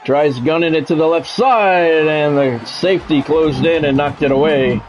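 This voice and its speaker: A man's voice: on the high side, moderate pace, soft background music. A middle-aged man doing live football game commentary as a streamer, with a practiced sportscaster delivery and casual asides. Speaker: video game streamer and play-by-play announcer.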